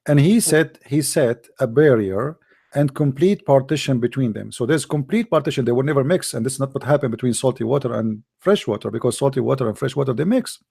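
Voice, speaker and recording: A man hosting a combative call-in debate, his voice tired and dry, who keeps saying "my friend" and often clears his throat. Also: mid-range voice, fairly quick, dark tone. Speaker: host of an online call-in debate.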